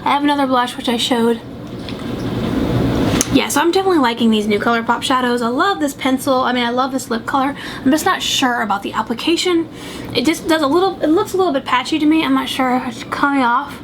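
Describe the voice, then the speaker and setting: A young woman with a high, sniffly, congested voice chats casually while she does her makeup at a table at home. She rambles and doubts herself, and there is clear noise behind her. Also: fast. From American South. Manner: rambling and self-doubting, full of fillers. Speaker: beauty vlogger. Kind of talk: chatty get-ready-with-me makeup tutorial. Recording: noisy home recording.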